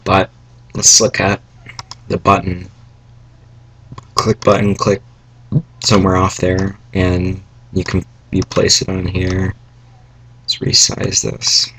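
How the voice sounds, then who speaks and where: A young man a little raspy from salty food, giving a rambling, halting coding tutorial from home at his computer, with fillers and asides. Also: low-pitched, fairly bright tone, low-quality recording. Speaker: tutorial maker. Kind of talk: casual screen-recorded coding tutorial. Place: home room, family noise around. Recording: faint background noise, keyboard and mouse clicks.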